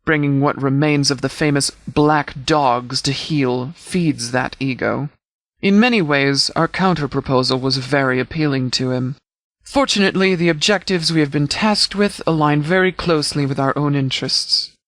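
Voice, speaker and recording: A stern wasteland sheriff voiced by a male actor for a video game. His voice sits a little high for a man, and he speaks formally and with measured authority. The sound is very dry, with no room around it. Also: a bit bright, dry recording, close to an everyday voice. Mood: measured, analytical. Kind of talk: scripted video game character dialogue.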